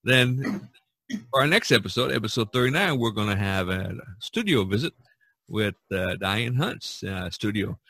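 A man hosting a podcast over a video call, his low voice carrying a bright, forceful edge. He rambles through casual chat, full of fillers and restarts, and the sound is dry and close. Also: expressive, moderate pace, husky, no echo. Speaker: podcast host.

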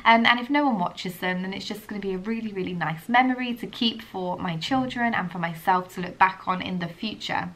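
A woman's voice: mid-range voice, brisk, hardly any pauses, fairly bright tone, low-quality recording. A woman in her twenties with a stuffy nose from a cold, chatting casually to camera in her living room, a little unsure and saying "um" often. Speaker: vlogger, young mum. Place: living room at home, indoors. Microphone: camera on a table in front of her.

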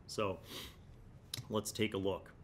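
A middle-aged man hosting a tabletop gaming retrospective, talking casually and reflectively, explaining things in halting phrases with repeated words, into a studio microphone. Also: low-pitched, flat delivery. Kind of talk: casual commentary on old gaming magazines. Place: small indoor studio room. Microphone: large desktop studio microphone.

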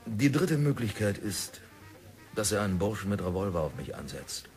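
A stubborn diamond prospector. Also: male voice, on the low side, sing-song, normal speed. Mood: defiant. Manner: defiant, self-assured, sardonic. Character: stubborn obsessed male diamond prospector, middle-aged. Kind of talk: 1960s radio drama acting. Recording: old radio play with faint music.

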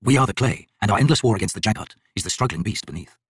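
Male narrator as male clan leader, fantasy audiobook, sardonic, solemn, slow, husky. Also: fairly low pitch, expressive, dry recording, clean studio sound. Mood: grave, brooding. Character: grave, wry male prehistoric clan leader.

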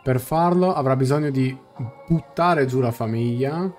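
Young Italian man streaming, mid-pitched. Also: a little mellow. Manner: halting, rephrases as he translates. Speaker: game streamer. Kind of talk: casual live reaction, translating game dialogue aloud. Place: small indoor room. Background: faint game music.

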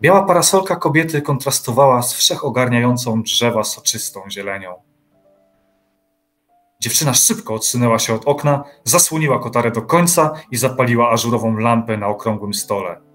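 Guy narrating a literary radio play, mid-range voice, soft music underneath. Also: mid-range voice, animated, normal speed. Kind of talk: scripted literary narration for an audio drama. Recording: music behind the voice.

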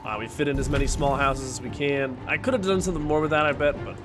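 Young male streamer, headset, slightly high voice, sniffly, chatting to himself, game music behind. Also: fairly even intonation, fast talker. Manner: chatty, talks to himself while building. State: sniffly from a cold. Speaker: gaming streamer. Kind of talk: casual let's-play commentary.

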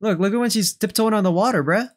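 A man's voice: high voice, fast talker. A man in his twenties giving casual, slangy reaction commentary, exclaiming and repeating himself. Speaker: reaction streamer. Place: small bedroom streaming setup, indoors. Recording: loud music from played videos. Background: game trailer music.

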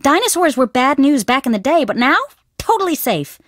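Skittish young woman student, bright and a touch high, anxious game character. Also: sing-song, no room sound, studio quality. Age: young adult. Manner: anxious, fretful, quick to panic. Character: jittery young woman student, superstitious and nervous. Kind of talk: video game dialogue.

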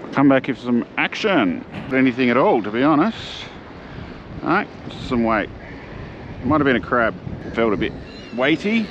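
An Australian man chatting casually as he films himself fishing from a seaside jetty, relaxed and full of slang. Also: mid-range voice, normal speed, pauses often. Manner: laid-back, chatty, slangy. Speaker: fishing vlogger. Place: outdoors on a jetty by the sea. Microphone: camera-mounted mic, first-person view. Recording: dull outdoor camera audio with wind noise. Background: wind and water.

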